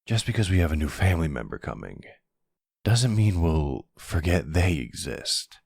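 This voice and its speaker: A man's voice: low-pitched, sing-song, normal speed, a little mellow, no echo, studio quality, exaggerated voice. An actor playing a suave middle-aged mafia boss and devoted family man, speaking tenderly in an intimate roleplay. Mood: tender, reassuring. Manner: tender, soothing, smooth-talking. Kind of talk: intimate ASMR boyfriend roleplay acting.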